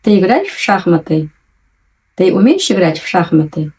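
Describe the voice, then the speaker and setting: A woman teaching a language lesson, patiently and clearly enunciating, repeating each new word twice. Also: fairly low pitch, sing-song, normal speed, a little mellow. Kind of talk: slow, careful language lesson.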